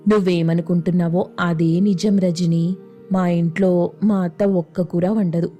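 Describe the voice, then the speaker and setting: A woman's voice: lowish voice, fairly even intonation, measured pace, dark tone, faint music behind, natural voice. A woman playing a weary, pleading married sister caught between relatives in a story. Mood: resigned. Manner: pleading, resigned.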